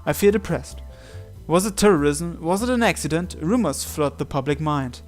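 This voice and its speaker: A man's voice: on the high side, expressive, moderate pace. A man reading a visual novel aloud as a gaming host, acting out the text and adding his own asides. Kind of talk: dramatic read-aloud with asides. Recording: music behind the voice. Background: game music.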